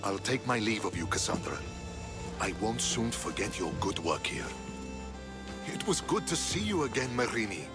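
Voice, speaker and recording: A man's voice: fairly low pitch, big pitch swings, moderate pace, over music. A man playing a courteous, optimistic Greek military commander in dramatic video game dialogue. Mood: courteous, formal.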